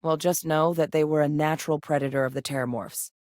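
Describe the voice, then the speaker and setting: A battle-hardened female veteran turned scientist from a sci-fi video game, acted by a woman. Her voice sits a little low and is captured close and dry. She talks in a clipped, no-nonsense way, matter-of-fact and used to giving orders. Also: fairly even intonation, a little fast, a little mellow, no echo, lightly acted. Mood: composed, matter-of-fact. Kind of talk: scripted video game character dialogue.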